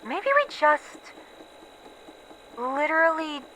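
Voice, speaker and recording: A woman playing a weary, haunted researcher who is losing her memories, in a halting, introspective horror audio roleplay monologue. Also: high voice, pushed and bright, a little hiss or hum, full character voice, low-quality recording. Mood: pensive, unsettled. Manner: halting, introspective, pausing between short phrases.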